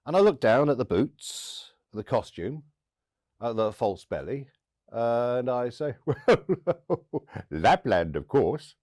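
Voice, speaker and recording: A man delivering a first-person story theatrically, with dramatic pauses and acted-out dialogue. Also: medium pitch, very animated, a little mellow, no room sound, studio quality. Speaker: storyteller and podcast host.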